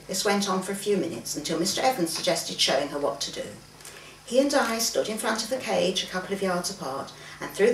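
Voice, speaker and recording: A woman's voice: on the low side, fairly bright tone. A woman reading her own fiction aloud expressively at a live event in a home, acting out dialogue and occasionally stumbling over words. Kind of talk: expressive story reading from a manuscript. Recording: roomy, distant mic, faint background noise.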